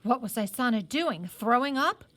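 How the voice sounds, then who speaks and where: A hot-headed teenage boy from a storybook, acted by a woman reader. He speaks in a high, very animated voice, blurting things out with indignant, sour emphasis. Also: normal speed. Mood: sour, scornful.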